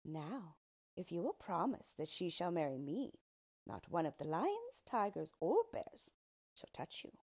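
A woman voicing an ugly little yellow dwarf man from a storybook, smug, sly and disdainful, speaking in a gloating, mock-polite, emphatic way. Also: lowish voice, sing-song, a little slow, husky, full character voice. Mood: eager, scheming. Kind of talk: storybook character reading.

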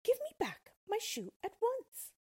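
A woman's voice: extremely high pitch, huge pitch swings, a little slow, warm tone, pitched above the performer's own voice, full character voice. A woman voicing a brave, earnest little girl in a children's storybook, speaking emphatically. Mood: cross.

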